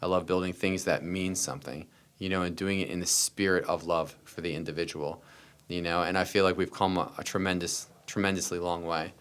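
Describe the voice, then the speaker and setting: A man's voice: deep voice, steady tone, brisk, fairly bright tone, smooth. An American man telling personal stories as a podcast interview guest, earnest and repeating phrases for emphasis. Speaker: interview guest, startup founder.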